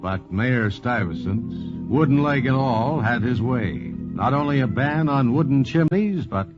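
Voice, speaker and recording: A man's voice: medium pitch, animated, unhurried. A man narrating a scripted 1940s radio program as host, with a dramatic, polished announcer delivery. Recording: dull 1940s radio transcription. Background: loud music.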